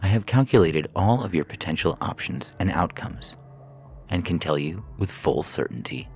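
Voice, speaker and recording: A man reading audio fiction as a calculating artificial intelligence, coolly persuasive and menacing, speaking in a measured, clinical, formal way. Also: deep voice, lively intonation, slight background noise. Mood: quietly threatening. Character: calculating prison AI, four years old, coolly persuasive, menacing.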